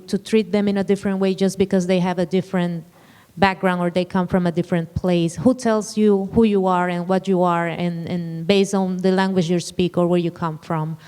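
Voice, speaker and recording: A woman's voice: medium pitch, darkish tone, clean tone, big-room sound. A woman speaking earnestly on a panel, stressing her points and restarting phrases. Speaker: panelist author. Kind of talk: panel discussion. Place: lecture hall.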